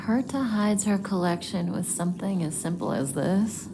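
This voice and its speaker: A woman's voice: fairly low pitch, animated, normal speed, background noise. A woman voice-acting a poised, teasing space-faring thief in a video game, languid, wry and confident. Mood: amused, curious. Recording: loud music behind the voice. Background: loud game soundtrack music.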